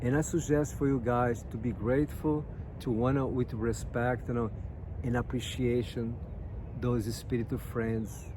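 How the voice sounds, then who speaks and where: A man from Brazil with a Brazilian Portuguese accent, speaking English as a second language, sharing personal stories in an earnest, halting way and often asking "you know what I mean?". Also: mid-range voice, fairly even intonation, background noise. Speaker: massage therapist sharing life lessons. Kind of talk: casual personal talk to viewers.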